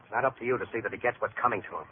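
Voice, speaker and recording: An actor playing a firm, principled police lieutenant, blunt and plain-spoken, in an old-time radio drama. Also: male voice, fairly low pitch, rapid-fire, lightly acted. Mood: stern lecturing. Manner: blunt, direct. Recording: tinny, noisy vintage radio recording.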